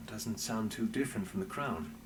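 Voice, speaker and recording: Guy voicing a reserved tired hero from a fantasy game, low voice, echoey w background noise. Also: moderate pace, close to an everyday voice. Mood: curious, lighter. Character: reserved, weary male returning hero of a fantasy city. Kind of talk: fantasy video game dialogue.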